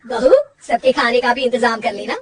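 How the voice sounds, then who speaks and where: A woman voicing a bossy but kindly older mother-in-law who gives orders loudly. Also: on the high side, steady tone, moderate pace, distant mic, natural voice. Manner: loud, commanding, motherly.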